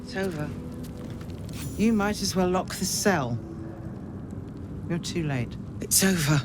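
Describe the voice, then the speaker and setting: A defeated woman counsellor held captive, low and unhurried. She speaks with grave, formal weight, in dramatic video game style. Clear ambient noise runs behind her. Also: lively intonation. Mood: grim, resigned.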